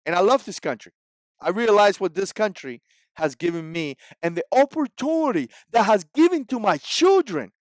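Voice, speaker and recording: A man in his forties speaks English with a Cuban Spanish accent, his first language being Spanish. His voice sits high for a man, and he hammers his points home with emphatic repetition. The recording is close and dry, with no room sound. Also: very animated, moderate pace, no room sound. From Cuba. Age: 40s. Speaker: vlogger. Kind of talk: opinion commentary vlog.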